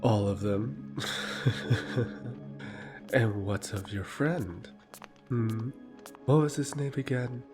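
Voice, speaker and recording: A man's voice: mid-range voice, fairly even intonation, drawn-out words, pauses often, over music. A man playing a sly, condescending royal emissary in a fantasy audio drama, speaking with silky, mocking politeness. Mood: insinuating.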